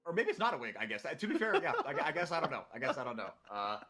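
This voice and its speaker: Bright-voiced man calling esports action. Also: medium pitch, very fast, soft background music. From Brazil. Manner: excitable, rising to shouts in big plays. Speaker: play-by-play caster. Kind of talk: esports play-by-play commentary.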